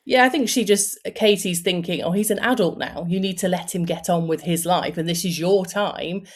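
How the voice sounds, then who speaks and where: A woman's voice: fairly low pitch, moderate pace. A woman in her forties, an author, talking about her book in a casual interview, chatty with lots of fillers and restarts. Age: 40s.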